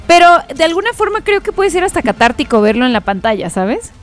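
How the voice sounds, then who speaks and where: A woman with a fairly high voice recommends films with eager, rambling enthusiasm. Light music plays faintly beneath her. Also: sing-song, quick pace. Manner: enthusiastic, filler-heavy. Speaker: host presenting recommendations. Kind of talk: casual chat, film review.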